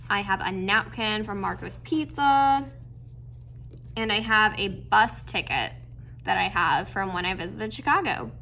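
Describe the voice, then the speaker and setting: A woman with a bright, somewhat high voice presents a how-to lesson for children. She talks casually and encouragingly, like a teacher walking young listeners through each step of a craft. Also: slight background noise. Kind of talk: friendly instructional how-to for kids.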